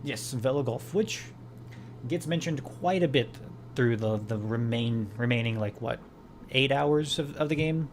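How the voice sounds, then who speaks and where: A man's voice: medium pitch, a little slow, slight background noise. Rambling male podcast host. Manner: rambling, many fillers and restarts. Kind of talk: casual chat.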